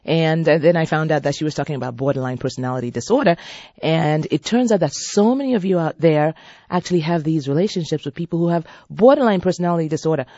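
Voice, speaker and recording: A woman hosts a radio talk show with a husky, worn-sounding voice. She talks to her listeners in a chatty, playful, exclamatory way. The studio sound is dry and close, with a slightly muffled broadcast quality. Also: sits low, fast talker, dark tone, no echo. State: husky voice from a seasonal cold. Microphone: studio microphone.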